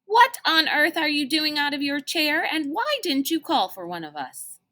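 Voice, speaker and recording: A woman voicing a brisk, fussing woman assistant in a storybook, matter-of-fact and bossy. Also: high voice, big pitch swings, pushed and bright, voice pushed up, exaggerated voice. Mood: scolding.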